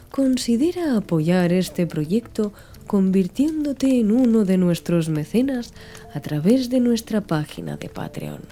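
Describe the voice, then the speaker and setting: A woman narrating a literary audiobook from a script, with measured, deliberate phrasing. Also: mid-range voice, warm tone. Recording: music behind the voice. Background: music.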